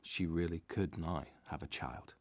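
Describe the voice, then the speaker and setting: Casting: male radio-drama narrator, very low voice, close dry studio sound, scripted storytelling. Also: unhurried, no room sound.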